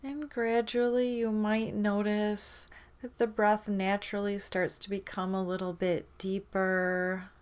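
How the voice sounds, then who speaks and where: A woman leading a guided meditation in a gentle, soothing manner, leaving long pauses between phrases. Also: mid-range voice, flat delivery, clear, resonant tone.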